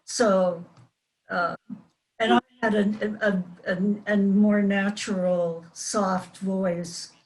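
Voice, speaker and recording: An older woman reminisces as a panel guest. Her pace is very slow, with thoughtful halts between phrases, and her voice sits at an average pitch. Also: steady tone, low-quality recording. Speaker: panel guest, former child radio actress.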